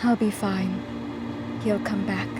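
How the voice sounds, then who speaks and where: A woman's voice: medium pitch, level tone, slow, very noisy, close to an everyday voice. An actress playing a protective, upset mother with an emotional, pleading delivery in an audio drama. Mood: pleading, sad. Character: distressed, protective mother standing up to husband.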